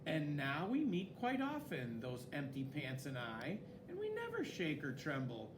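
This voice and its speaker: Animated, slow-paced man reading a children's story. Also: on the high side, background noise. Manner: expressive, dramatic, with swooping emphasis. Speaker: story reader.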